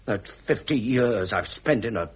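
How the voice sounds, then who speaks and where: An actor playing a frustrated, proud Prince of Wales near fifty, formal and imperious, with an upper-class English accent in a period drama. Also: male voice, fairly low pitch, lively intonation, unhurried, slightly rough. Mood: weary, frustrated. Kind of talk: period British broadcast drama acting.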